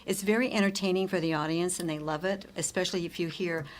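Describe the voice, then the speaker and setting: A woman's voice: fairly low pitch, fairly even intonation, quick pace, slight background noise. A woman talking as a theater director in a conversational interview.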